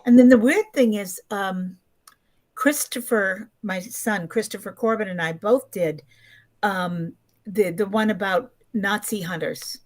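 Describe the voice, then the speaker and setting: A woman's voice: mid-range voice, measured pace. A woman in her seventies telling stories casually as an interview guest, emphatic and often acting out what people said. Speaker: podcast guest, actress.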